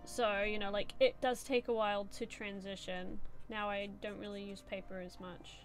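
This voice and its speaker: A woman's voice: mid-range voice, level tone, normal speed, soft background music. A young adult woman chatting casually while she draws on a live stream, answering chat with many "like" and "um" fillers. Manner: rambling, full of "like" and "um" fillers. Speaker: streamer drawing and reading chat.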